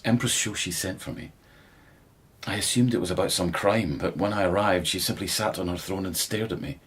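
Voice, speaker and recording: An actor playing a courteous, plain-spoken palace policeman of humble birth in a radio drama, husky and respectful. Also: sits low, brisk. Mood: puzzled.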